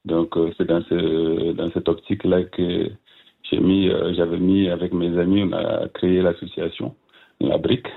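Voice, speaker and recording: A man in his fifties from Burkina Faso, a guest speaking by phone, hesitant with many fillers and soft laughs. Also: low voice, little pitch movement, moderate pace, vocal fry. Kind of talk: phone interview. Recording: tinny phone line.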